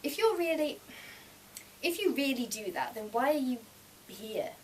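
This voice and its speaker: A woman's voice: sits high, sing-song, unhurried, pitched above the performer's own voice. Sarcastic sceptic for a live story reading, female reader, incredulous and probing, captured distantly with room noise. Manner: sardonic, questioning. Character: sceptical sarcastic narrator dating Death. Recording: distant mic, noisy room ambience.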